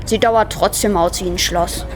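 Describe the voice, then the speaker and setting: A woman's voice: medium pitch, fairly even intonation, normal speed, a faint crowd, a little hiss or hum, natural voice. A woman playing a bored, whiny little girl tourist in a radio play.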